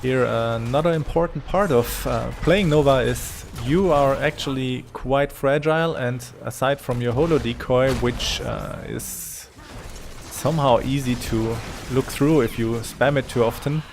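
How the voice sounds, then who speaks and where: A man with a German accent talks through a game replay, unscripted and somewhat apologetic as he thinks aloud. His voice has a gravelly fry at a middling pitch, over faint background noise in a home voice-over. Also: measured pace. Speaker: gaming commentator. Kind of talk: unscripted gameplay commentary.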